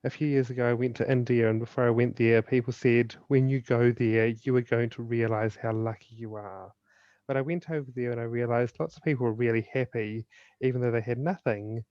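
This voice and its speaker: A man with a New Zealand accent, a guest author and suicide prevention speaker, explaining in an earnest, measured way. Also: mid-range voice, fairly even intonation, no echo, muffled recording. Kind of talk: podcast interview, explanatory.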